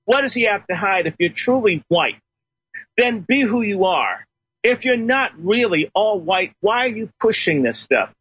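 A man's voice: sits high, sing-song, moderate pace, fairly bright tone, breathy edge, dull audio. A man phoning in as a guest on a talk radio show, telling personal stories emphatically.

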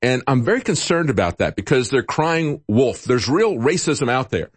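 A man's voice: medium pitch, big pitch swings, moderate pace, husky, no room sound. Talk-radio man with dry close sound. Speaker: radio show host. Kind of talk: talk radio with product plugs.

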